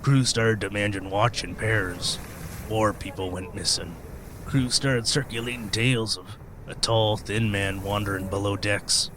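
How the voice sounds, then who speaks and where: An actor playing a weathered, gruff old sailor in a radio play, telling sea tales in folksy, hesitant rustic speech. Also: male voice, lowish voice, unhurried, noisy background, natural voice. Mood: grave, ominous.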